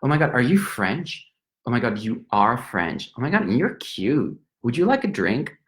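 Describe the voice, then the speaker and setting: A woman's voice: rumbling low, big pitch swings, moderate pace, echoey room. A man voicing an over-eager young woman who chatters breathlessly in a pub. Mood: gushing.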